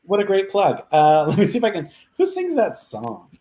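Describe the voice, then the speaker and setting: A woman hosting a casual online chat show, relaxed and laughing as she reads out requests. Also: mid-range voice, normal speed, slight background noise. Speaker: host.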